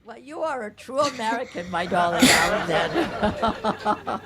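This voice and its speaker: A woman's voice: medium pitch, lively intonation, unhurried, a loud crowd. Older woman author with slight Hungarian accent. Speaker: author and professor giving a book talk. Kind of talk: audience question-and-answer at a book reading. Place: bookstore event.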